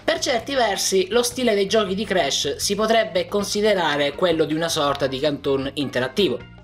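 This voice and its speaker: A man's voice: high-pitched, moderate pace. A man reading a scripted video essay narration in speech. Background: background music.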